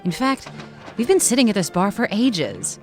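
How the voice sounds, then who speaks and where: Lively, flirty British woman. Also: mid-range voice, moderate pace, soft background music. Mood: flirty, teasing. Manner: teasing, confident. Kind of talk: audio drama acting.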